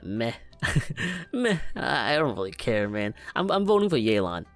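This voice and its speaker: A man's voice: mid-range voice, very animated, a little slow, faint music behind. A young man giving casual let's play commentary in a joking, slangy, informal way. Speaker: gaming reaction YouTuber.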